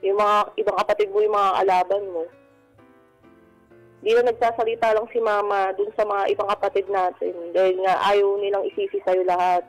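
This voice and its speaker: A woman's voice: mid-range voice, level tone, normal speed, poor audio. A woman calling a radio advice show, speaking in a plain, exasperated, everyday way about personal matters. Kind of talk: personal appeal in everyday speech. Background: music.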